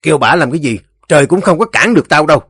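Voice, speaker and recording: A man voicing a belligerent, boastful village drunkard in a storybook, cursing roughly with a Southern Vietnamese accent. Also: mid-range voice, expressive, fairly quick, fairly bright tone, no echo, studio quality. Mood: furious, threatening. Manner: blustering, cursing, rough rural speech.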